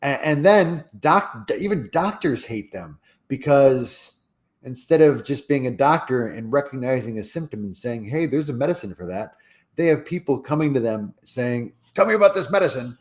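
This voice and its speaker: A man's voice: mid-range voice, lively intonation, normal speed. A man co-hosting a livestream who talks earnestly about politics, with frequent stammers and restarts. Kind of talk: casual livestream discussion.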